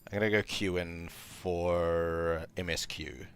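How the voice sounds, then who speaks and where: Croaky deep-voiced male game streamer. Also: steady tone, takes it slowly, a little hiss or hum. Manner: rambling, thinking aloud. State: tired voice from talking all day. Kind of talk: casual gaming livestream commentary.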